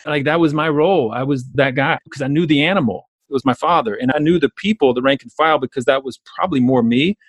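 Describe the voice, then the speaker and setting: Southern US male coach and speaker, very quick, emphatic interview delivery, close dry sound. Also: medium pitch, husky, no echo. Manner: emphatic, repeats phrases for stress. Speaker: business coach, speaker and author being interviewed. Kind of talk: conversational interview, storytelling.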